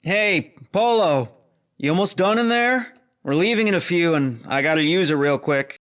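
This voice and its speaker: A man playing an easygoing but hesitant space security officer in an audio drama, speaking casually and haltingly. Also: on the high side, animated, fairly bright tone. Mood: casual, brisk.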